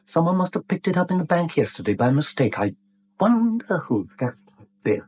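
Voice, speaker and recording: A man's voice: fairly high pitch, big pitch swings, a little fast, darkish tone, breathy edge. A man acting a smug, breezy British confidence trickster in a 1970s radio drama, jaunty, sly and condescending.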